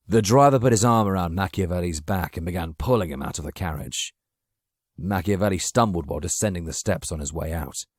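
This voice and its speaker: A man's voice: deep voice, no room sound, clean studio sound. A man narrating a dramatic story from a script as an audiobook reader.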